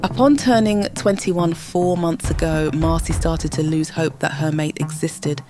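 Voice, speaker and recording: A woman with a low voice tells a story in dramatic, measured narration. Clear music plays under her the whole way. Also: steady tone. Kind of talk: scripted audio drama narration.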